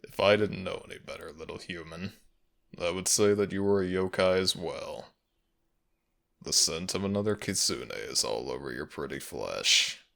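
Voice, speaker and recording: Man as a very deep, sinister fox-demon villain. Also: moderate pace, a bit bright. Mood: predatory, mocking. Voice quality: very deep, gravelly. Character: predatory male Nagatsune kitsune villain, hungry, mocking, sinister. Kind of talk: ASMR fantasy audio roleplay.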